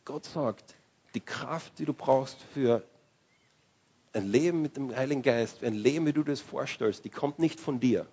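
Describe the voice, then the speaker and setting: A man preaches in a loose, conversational way, often restarting his sentences. His voice is husky and sits at a middle pitch. The recording is dull and lo-fi. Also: normal speed, mellow. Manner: conversational, with restarts and searching for words. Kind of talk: sermon.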